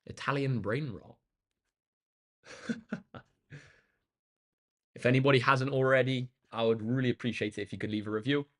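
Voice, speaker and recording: A man chatting casually and informally to viewers on a livestream as a tutor, his voice slightly croaky and tired, speaking to them directly and thanking them. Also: mid-range voice, fairly even intonation, moderate pace, pauses often, fairly bright tone. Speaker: maths tutor live streamer.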